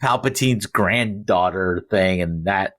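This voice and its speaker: A man chatting casually and giving his opinions as a podcast panelist, with somewhat halting phrasing. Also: deep voice, fairly bright tone.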